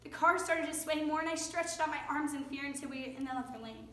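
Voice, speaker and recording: A woman's voice: sits high, quick pace, a bit bright. A young woman playing a traumatized, guilt-laden teenage girl in a staged monologue. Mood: haunted. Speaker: student performer in readers theater. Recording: distant echoey stage recording, faint noise.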